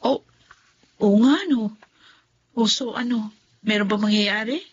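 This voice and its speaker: A woman's voice: medium pitch, measured pace, slightly rough, muffled recording. An actress playing a curious young woman who keeps asking a friend about the man she likes. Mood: inquisitive. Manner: inquisitive, apologetic. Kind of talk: radio drama acting.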